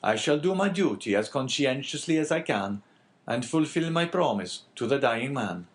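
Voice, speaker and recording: A courteous, composed priest from a classic storybook, acted by a male narrator. His phrasing is formal and measured, with a raised, expressive pitch. He probes politely. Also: natural voice. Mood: feigned indifference.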